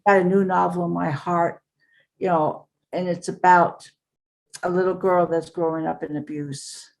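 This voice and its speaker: Older lady guest, lowish voice, chatty and earnest, lots of ums. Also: steady tone, unhurried, creaky. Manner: earnest, rambling, warm storytelling with fillers. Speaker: author and guest. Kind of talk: casual interview talk.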